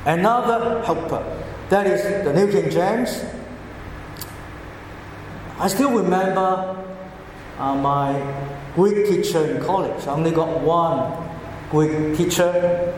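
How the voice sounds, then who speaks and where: A pastor with a Cantonese accent preaching a sermon, slowly explaining words one by one. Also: male voice, high-pitched, a little hiss or hum. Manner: slow, deliberate, explaining word by word. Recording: echoey hall with faint ambience.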